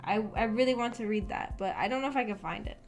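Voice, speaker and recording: A woman's voice: a little high, fast, creaky. A young adult woman chatting casually on a livestream, rambling and thinking aloud as she answers her viewers. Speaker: streamer, host of a visual novel book club. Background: background music.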